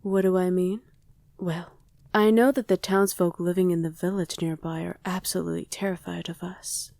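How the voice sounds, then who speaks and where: Soft, mellow whispering vampire wife, acted by a woman. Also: on the low side, steady tone, normal speed, no room sound, studio quality. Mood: curious, musing. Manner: soft, breathy, often whispering, affectionate. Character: tender, doting, playfully teasing female vampire wife. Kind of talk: intimate ASMR roleplay.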